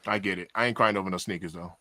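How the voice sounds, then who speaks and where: A man's voice: low-pitched, fairly even intonation, racing, pushed and bright, dry recording. A man with a New York accent chatting casually on a livestream, emphatic and slangy, often repeating himself for effect. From Queens, New York, USA. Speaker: podcast panelist.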